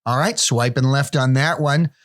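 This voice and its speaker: A man's voice: medium pitch, normal speed, fairly bright tone, natural voice. A man playing a judgmental operator who describes dating profiles over the phone, hesitant and fussy, with many restarts. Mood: deadpan. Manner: hesitant, picky commentary with restarts.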